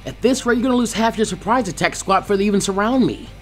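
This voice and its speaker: Man putting on a high, taunting teenage ninja girl. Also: expressive, fast, soft background music. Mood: smug, taunting. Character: confident teasing teenage kunoichi girl, weapons expert. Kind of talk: fan fiction audiobook character voice.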